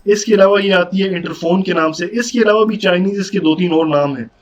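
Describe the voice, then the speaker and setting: A man's voice: sits high, a little fast. A man with a Pakistani accent giving a casual, conversational product review, mixing English words into his speech. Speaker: motorcycle vlogger reviewing gear. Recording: dull audio with background noise.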